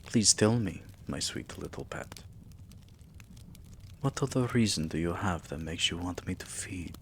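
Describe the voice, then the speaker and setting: A man playing a tender, possessive vampire king in an intimate roleplay ASMR, speaking softly and breathily with courtly endearments and often dropping into a whisper. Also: sits low, lively intonation, unhurried, a little hiss or hum. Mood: gentle, curious. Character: tender, possessive male vampire king doting on his human pet.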